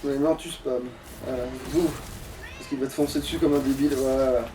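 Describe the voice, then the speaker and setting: A man's voice: medium pitch, steady tone, fairly quick, noisy background. A man giving casual gaming commentary, grumbling and swearing to himself and at the game as he plays. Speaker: let's play streamer playing the game. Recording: distant mic with game music behind.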